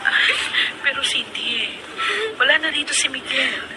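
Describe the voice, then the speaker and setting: An actress playing a caring older sister in a Filipino radio drama, flustered and stammering in alarm, with a Filipino accent. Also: female voice, sits high, unhurried. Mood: worried. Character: startled, caring older sister of missing man. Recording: very noisy radio drama recording.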